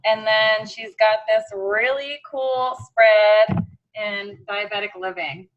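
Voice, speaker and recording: A woman's voice: mid-range voice, slow, muffled recording. An American woman chatting casually as a podcast co-host. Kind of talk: casual chat.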